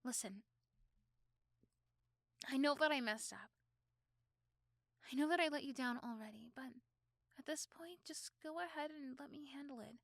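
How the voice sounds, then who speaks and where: A woman voicing a possessive, protective teenage girlfriend in an ASMR roleplay, doting and intense, with dramatic pauses and repeated words. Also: sits high, vocal fry, fairly bright tone, no echo, clean studio sound. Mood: reassuring, resolute. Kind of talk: ASMR roleplay acting addressed to the listener.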